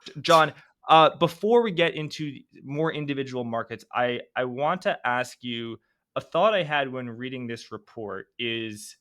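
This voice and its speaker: A man's voice: mid-range voice, expressive, a little slow, fairly bright tone. Host: American man, casual, rambling, self-correcting questioner. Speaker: podcast host.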